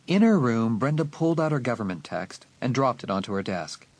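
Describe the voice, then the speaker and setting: A man's voice: medium pitch, very animated, a little mellow. A man narrating a horror audiobook in a dramatic, suspenseful scripted style. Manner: suspenseful, deliberate emphasis.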